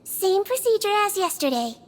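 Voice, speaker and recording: A woman voicing a small, gentle creature who works as a methodical head nurse in a video game, sweet and polite. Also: extremely high pitch, big pitch swings, moderate pace. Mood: calm, explanatory. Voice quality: sweet, childlike. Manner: polite, careful, explanatory. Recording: music behind the voice.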